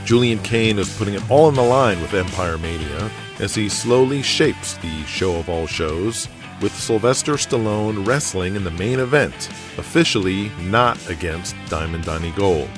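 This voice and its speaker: A man's voice: fairly low pitch, animated, moderate pace, music in the background. A man narrating a scripted audio drama in a dramatic storytelling style.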